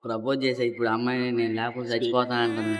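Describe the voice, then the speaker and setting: A man's voice: medium pitch, little pitch movement, racing, crowd noise. A young man making a casual prank phone call in a pleading manner. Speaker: prank caller.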